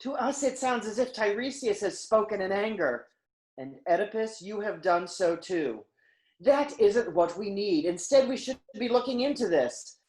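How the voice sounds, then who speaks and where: Casting: actress as Theban chorus elder, mid-range voice, measured peacemaker, roomy echoing recording, classical tragedy. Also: female voice, medium pitch, expressive, a little mellow, lightly acted. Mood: calming, urgent. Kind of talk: dramatic classical Greek tragedy reading.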